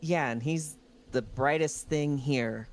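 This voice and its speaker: A man chatting as a player in a tabletop roleplaying game, haltingly describing what his character does. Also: a little high, a little hiss or hum. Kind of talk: casual roleplay chat.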